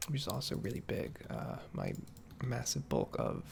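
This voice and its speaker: A man giving a casual, soft-spoken ASMR ramble over gameplay, hesitant and understated as he meanders from thought to thought. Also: lowish voice, wildly swooping pitch, moderate pace, gravelly. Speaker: gaming video creator. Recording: faint background noise, keyboard typing, clicking. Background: soft computer keyboard typing and mouse clicks.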